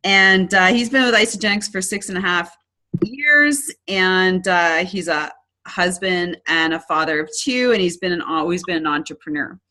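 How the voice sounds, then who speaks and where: A woman's voice: fairly low pitch, expressive, normal speed, bright, forceful tone. A woman hosting a casual online business presentation, chatty and upbeat, with frequent ums and restarts. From Canada.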